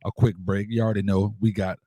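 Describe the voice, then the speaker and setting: A man's voice: lowish voice, level tone, quick pace, mellow, no echo. A man hosting a casual radio interview in an informal, slangy way with appreciative interjections. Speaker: radio show host.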